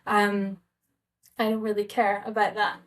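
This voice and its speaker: A woman's voice: medium pitch, monotone, a little slow. A woman from Scotland with a Scottish accent, telling a personal story in a hesitant, rambling, conversational way, like a casual vlog. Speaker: travel vlogger.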